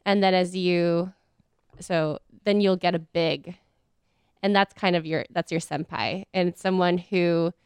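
A woman's voice: fairly low pitch, steady tone, unhurried, clear, resonant tone, dry recording, studio quality. An American woman co-hosting a podcast, explaining things in a friendly, casual way with easy laughs. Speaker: podcast co-host.

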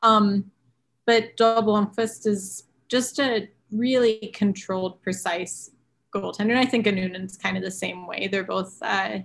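A woman on a podcast breaking down goalie prospects in knowledgeable detail. She thinks aloud with frequent ums and restarts. Her mid-pitched voice carries a noticeable vocal fry. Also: fairly even intonation, measured pace. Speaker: podcast guest, hockey analyst. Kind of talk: casual hockey chat.

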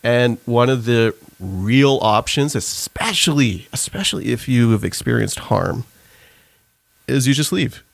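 A man's voice: mid-range voice, animated, a little slow, gravelly, dry recording. A man from Trinidad, an author and pastor, speaking earnestly as an interview guest and explaining his ideas at length. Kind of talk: interview conversation.

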